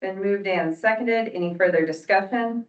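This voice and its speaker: A woman's voice: mid-range voice, moderate pace. A woman chairing a formal commission meeting, running procedure in set, formulaic phrases. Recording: roomy, distant, muffled meeting-room audio.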